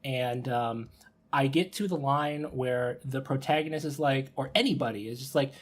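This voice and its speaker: Male podcast host, mid-pitched and a little rough-edged, informal and conversational. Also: normal speed. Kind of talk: casual podcast chat.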